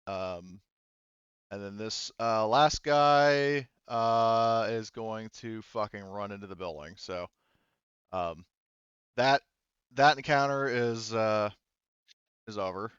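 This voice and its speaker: Male game master, casual and halting, deep clean tone on dry, slightly muffled audio. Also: fairly bright tone, smooth, no echo. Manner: casual, profane. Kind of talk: narrating a tabletop roleplaying game.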